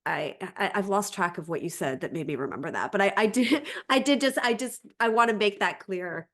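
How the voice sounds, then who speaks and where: A woman's voice: medium pitch, expressive, brisk, fairly bright tone. Woman teacher explaining in conversation. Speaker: Ancient Greek teacher, interview guest.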